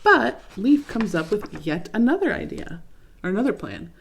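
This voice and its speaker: A young adult woman reading a picture book aloud to children, gently commenting and asking them questions. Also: medium pitch, very animated, moderate pace, creaky, a little hiss or hum. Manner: gentle, asks listeners questions, adds comments. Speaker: children's story reader.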